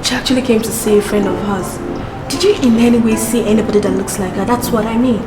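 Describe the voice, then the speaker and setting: A woman's voice: fairly high pitch, fast talker, roomy sound, music in the background, natural voice. An actress playing a worried woman who earnestly explains she is looking for a friend.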